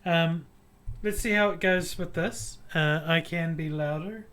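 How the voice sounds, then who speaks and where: A man with a New Zealand accent streaming a game, chatting softly and hesitantly with many fillers and corny jokes. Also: a little high, measured pace. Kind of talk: casual gaming livestream chat. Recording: loud game music behind.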